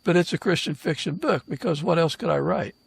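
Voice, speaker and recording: A man hosting a casual interview podcast, speaking in an informal, halting way. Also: a little high, expressive, vocal fry, slightly rough. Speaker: podcast host and author.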